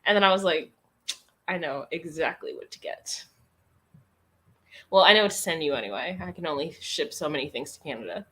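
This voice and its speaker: A woman's voice: low-pitched, animated, fairly quick, long pauses, a bit bright, slight background noise. A woman chatting casually as co-host of a livestream, with a blunt, sweary, playfully sassy manner.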